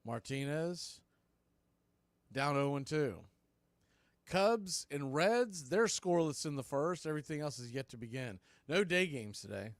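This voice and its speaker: A man doing live baseball play-by-play on radio, speaking in clipped phrases with pauses between calls. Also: a little high, lively intonation, dry recording.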